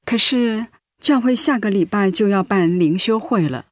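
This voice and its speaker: An actress playing an ailing, selfless pastor's wife and mother in a radio drama, with a weak, breathy voice. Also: medium pitch, normal speed, dry recording, studio quality, lightly acted. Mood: worried.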